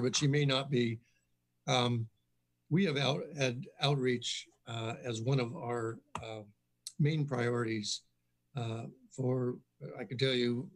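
An older man who is a police chief, addressing a council over a video call, halting with many fillers. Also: medium pitch, low-quality recording. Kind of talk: formal meeting remarks.

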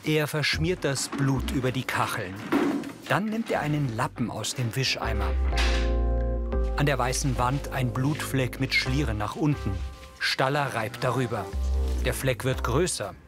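A man narrates screen action as an audio describer. His voice sits at a middle pitch, and music plays clearly behind the scripted narration. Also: unhurried.

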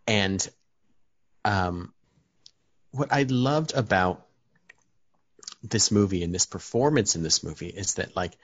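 A man's voice: on the low side, takes it slowly, pauses often, no echo. A man co-hosting a podcast, chatting casually and rambling with many fillers. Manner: rambling, hesitant, lots of fillers. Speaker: podcast co-host. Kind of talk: casual chat.

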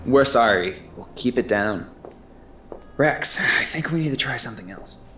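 A man's voice: mid-range voice, lively intonation, unhurried, bright, forceful tone, slight background noise. An actor playing an eager, earnest young man of twenty-one, persistent and a bit stammering, in an audio drama. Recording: faint music underneath.

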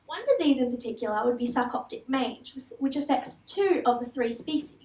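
A woman's voice: high voice, animated, brisk, a little mellow. Australian teenage girl, student speaker, earnest with small slips; roomy hall sound. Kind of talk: prepared stage talk. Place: large auditorium stage. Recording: echoey hall, heard from far off.

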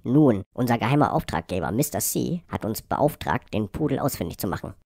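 A man's voice: mid-range voice, lively intonation, fairly quick, vocal fry, darkish tone, no room sound. Man playing a bossy secret-agency chief, dry recording. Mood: stern, urgent. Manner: commanding, mock-serious briefing. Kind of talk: satirical cartoon dialogue.

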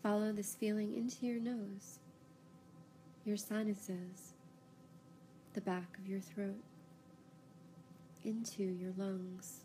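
A woman guiding a yoga nidra relaxation, giving calm, unhurried, soothing instructions with pauses. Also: medium pitch, slight background noise. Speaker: yoga nidra meditation guide. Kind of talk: guided relaxation meditation, slow instructions.

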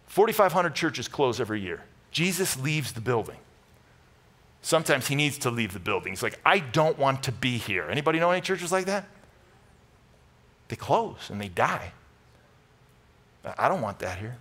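A middle-aged American man delivers a sermon from a church stage. His voice is bright and forceful, at an ordinary male pitch. He speaks informally and earnestly, urging his listeners on as a pastor does. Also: very animated, normal speed, pauses often. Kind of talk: conversational sermon to a congregation.

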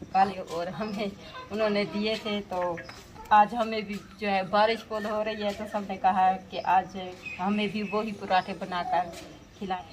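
Young woman chatting through her cooking outside, birds and animals around, clear background noise. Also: mid-range voice, fairly even intonation, measured pace. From Pakistan. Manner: chatty, explains each step to viewers, heavy use of filler phrases. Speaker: village life vlogger. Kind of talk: casual cooking vlog narration. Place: outdoor village courtyard. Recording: outdoor camera audio with clear background noise. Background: outdoor ambience with birds and animals.

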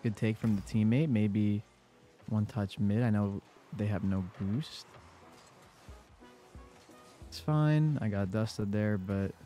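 A man's voice: on the low side, takes it slowly, long pauses, mellow, soft background music. A young man with a congested voice giving casual commentary over his own gameplay in a laid-back play-by-play. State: congested from a cold. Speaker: gaming YouTuber.

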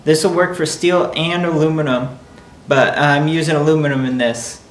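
A man's voice: a little high, steady tone, moderate pace. A man in his thirties hosting a welding channel, casually addressing viewers at the start and end of a tutorial. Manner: friendly, direct address to viewers. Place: wood-walled garage workshop, indoors. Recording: echoey workshop room.

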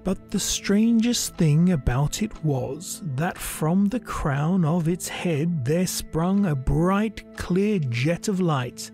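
A man narrating a literary story as a scripted reading, measured and deliberate. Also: fairly high pitch, lively intonation, darkish tone. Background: music.

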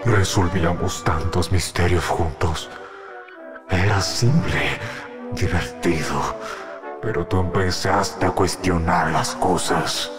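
A very low, unhurried voice over music: a monstrous talking dog played by a man, sorrowful and menacing. The accent is Latin American, the delivery brooding cartoon drama. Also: steady tone. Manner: slow, brooding, deliberate. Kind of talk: dramatic cartoon fan dub monologue.

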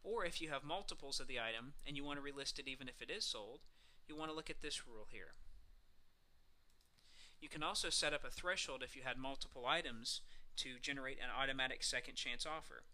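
Man, very bright sharp voice, mid pitch, casual step-by-step explaining, software demo narration. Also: fairly quick. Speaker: software tutorial presenter. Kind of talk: screencast walkthrough narration, semi-scripted.

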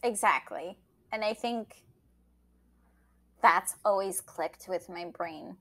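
A woman's voice: medium pitch, slow, fairly bright tone. A woman whose first language is Portuguese, talking casually as an editor and guest in an interview, laughing often and trailing into fillers.

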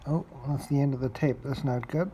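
A man's voice: mid-range voice, fairly even intonation, fairly quick. A man giving a casual, unscripted demonstration as a hobbyist repairer, recorded on an amateur home video. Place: indoors at a cluttered home table. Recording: noticeable background noise, amateur home video.

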